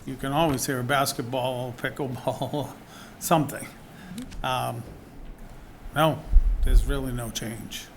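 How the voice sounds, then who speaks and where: A man's voice: medium pitch, steady tone, takes it slowly, slight background noise. Earnest man answering at a podium. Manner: earnest, defensive, conversational. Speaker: business owner applying for a permit. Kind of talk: public comment at a town board meeting. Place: town meeting hall at a podium.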